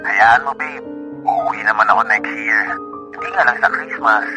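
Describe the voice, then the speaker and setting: An actor playing a steady, reassuring, responsible boyfriend working abroad, in a Filipino radio drama with a Filipino accent. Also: male voice, fairly low pitch, normal speed, natural voice. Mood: caring, reassuring. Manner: reassuring, considerate. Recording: studio drama over clear background music.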